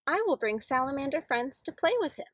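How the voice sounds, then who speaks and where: A woman's voice: high voice, very animated, a little fast, no room sound, clean studio sound. A woman reading aloud as an eager, imaginative little boy in a children's picture book, earnest and dreamy.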